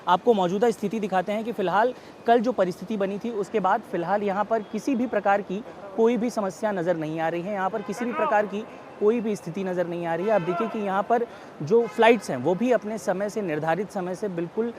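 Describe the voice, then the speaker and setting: A man's voice: sits high, a little fast, crowd noise. A man delivering a wordy, repetitive field news report from outdoors. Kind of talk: live-style on-location reporting. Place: outdoors at an airport. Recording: faint outdoor traffic noise.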